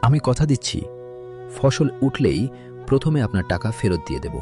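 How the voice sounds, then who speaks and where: A man's voice: mid-range voice, sing-song, normal speed, close to an everyday voice. A man playing a poor, honest, hardworking farmer in a cartoon fairy tale, speaking earnestly and plaintively. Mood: weary, pleading. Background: music.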